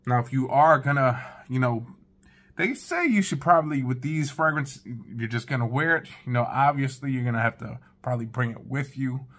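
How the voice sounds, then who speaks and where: A man's voice: medium pitch, moderate pace, fairly bright tone. Laid-back man chatting to camera. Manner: laid-back, chatty, talks straight to viewers. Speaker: fragrance reviewer. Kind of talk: casual first-impressions review. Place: living room at home.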